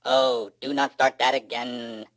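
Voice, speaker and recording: A man's voice: fairly high pitch, animated, moderate pace, fairly bright tone, dry recording, pitched above the performer's own voice. Storybook robot, voiced by a male narrator: literal know-it-all, clipped, synthetic, easily exasperated, dry close recording. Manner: pedantic, clipped recitation.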